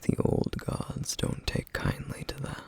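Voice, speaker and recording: A man playing a gentle, duty-bound flame demon in an ASMR roleplay, speaking softly in slow, formal, wistful phrases with a rough, breathy voice. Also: close to an everyday voice. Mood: wistful, reverent. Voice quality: breathy, whispery, rough, gravelly. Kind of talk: ASMR roleplay, intimate soft-spoken monologue.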